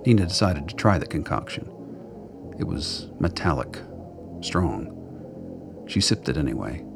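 A man narrating a scripted radio drama in a deliberate, noir storytelling manner. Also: rumbling low, big pitch swings, creaky, over music.

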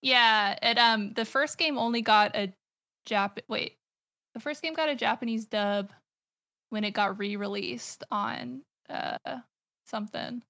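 Podcast guest: American woman, gravelly and bright, hesitant casual talker. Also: a little high, measured pace, dry recording, clean studio sound. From United States. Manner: hesitant, many fillers and restarts. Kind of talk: casual chat.

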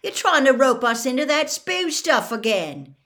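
A woman's voice: high-pitched, big pitch swings, unhurried, pushed and bright, voice pushed up, full character voice. A woman voicing a casual teenage schoolboy, joking and mocking in an offhand, punchy way in a storybook reading.

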